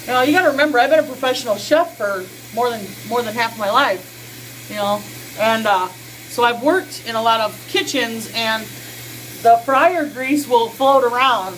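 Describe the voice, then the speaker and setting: A woman's voice: a little high, normal speed, fairly bright tone, slight background noise, poor audio. A woman in her early forties chatting casually through a skincare routine in a small home bathroom, folksy and full of fillers, on a close built-in camera mic. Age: 40s. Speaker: skincare vlogger. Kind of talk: casual how-to chat.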